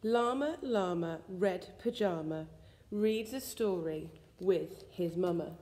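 A woman reads a rhyming children's picture book aloud in an expressive, sing-song storytelling way. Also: on the low side, slow pace. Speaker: school staff member reading a bedtime story. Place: school room with suspended ceiling, indoors. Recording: echoey indoor room with long reverb.

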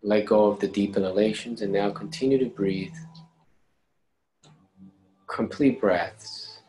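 A man leading a guided meditation, giving calm, unhurried instructions with long pauses between phrases. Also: lowish voice, low-quality recording. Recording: clear steady background noise.

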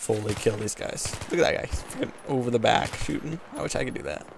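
A man's voice: medium pitch, lively intonation, fast talker, vocal fry. A young American man giving casual, slangy gameplay commentary, exclaiming and muttering to himself as he plays. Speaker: gamer recording a playthrough. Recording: gunfire and game noise behind voice. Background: video game gunfire and explosions.

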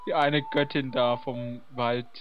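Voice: mid-range voice, level tone, a little slow, highs cut off, slight background noise. A young co-commentator bantering casually over a game, goofy and teasing, often sing-song. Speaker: guest co-commentator. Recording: game music behind the voice. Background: video game music.

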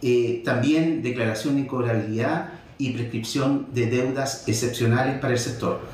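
A man in his forties gives expert comment. His medium-pitched voice sounds very echoey and somewhat away from the microphone. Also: fairly even intonation, moderate pace, darkish tone. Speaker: tax consultant. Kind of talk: expert interview. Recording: very echoey room, distant mic.

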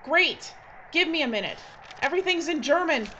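A woman's voice: high voice, animated, normal speed. A woman playing a tense, bossy filmmaker in a horror adventure drama, talking in clipped, urgent commands. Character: tense, bossy female filmmaker trapped in a frozen station. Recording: dull audio with loud background noise.

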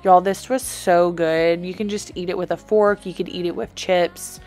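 A woman's voice: lowish voice, fairly even intonation, normal speed, a little mellow. A woman giving a chatty, informal voice-over as a vlogger explaining meal prep. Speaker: vlogger, host. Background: background music.

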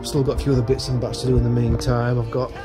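A man talks through a hands-on job in a parked car. His voice sits at a middling pitch, and he speaks informally and a little hesitantly, working things out as he goes. Loud music plays behind him. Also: little pitch movement, fast. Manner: hesitant, thinking aloud. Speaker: vlogger showing a DIY vehicle project. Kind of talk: casual vlog commentary while working.

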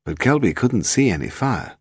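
Deep, creaky-voiced male narrator. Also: sing-song, moderate pace, darkish tone, no echo, studio quality. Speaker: audiobook narrator. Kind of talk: scripted narration of a detective novel.

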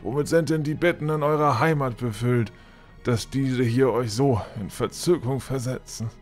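A man playing a menacing, condescending castle lord with halting false courtesy in a fantasy audio drama. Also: medium pitch, darkish tone, soft background music, close to an everyday voice. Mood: falsely hospitable. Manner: halting, drawling false courtesy with threatening undertone. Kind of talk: fan-made fantasy audio drama acting.

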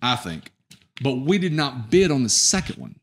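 A middle-aged man hosts a podcast in a casual register. His pitch sits in the middle, and he talks through points step by step on a close microphone. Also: sing-song, normal speed. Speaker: podcast host. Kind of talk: casual interview, recapping and asking questions. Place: indoor podcast studio at a round table. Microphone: boom-mounted podcast microphone up close.

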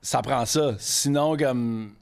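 A man's voice: mid-range voice, takes it slowly, creaky. A man in his thirties from Quebec with a Québécois French accent, a chef guest chatting casually on a podcast, switching into English words as he talks. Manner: animated, heavy franglais, gestures while talking. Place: podcast studio with stone wall. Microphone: desk mic on boom arm.

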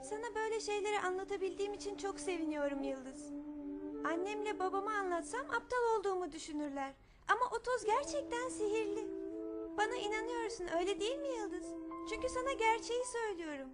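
A woman's voice: very high voice, animated, quick pace, over loud music. A woman playing a young girl, a bossy but caring big sister, in a dubbed children's cartoon. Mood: confiding.

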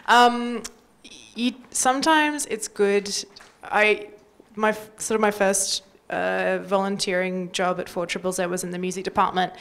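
A woman's voice: mid-range voice, steady tone, unhurried, fairly bright tone, smooth. A young Australian woman talking casually and wryly into a handheld mic on a panel. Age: young adult. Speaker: panellist from community radio. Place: indoor workshop panel before a small audience. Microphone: handheld microphone.

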